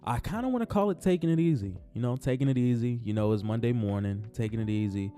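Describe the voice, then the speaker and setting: A man talking casually about basketball in his own vlog, his voice raspy from just waking up, laid-back and repeating himself as he speaks from the heart. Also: mid-range voice, lively intonation, fast, dark tone, soft background music. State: raspy morning voice. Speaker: sports vlogger. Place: on his way to work.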